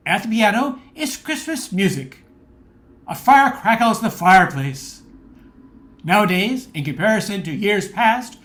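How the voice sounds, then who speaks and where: A woman playing a folksy radio announcer, delivering scripted narration and sponsor copy in old-time style. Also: a little high. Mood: storytelling.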